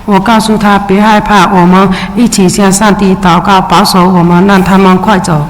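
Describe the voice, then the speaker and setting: A Chinese immigrant woman, a native Mandarin speaker, reading her testimony at a public hearing in a steady, measured way with brief hesitations. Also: medium pitch. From China. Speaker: witness testifying at a city council hearing. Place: large public hearing chamber. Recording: echoey hearing room with faint ambience.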